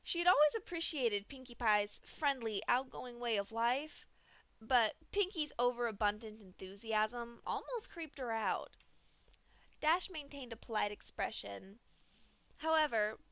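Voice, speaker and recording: A woman narrating a fan-fiction story aloud in an expressive reading. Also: a little high, a little slow, no room sound.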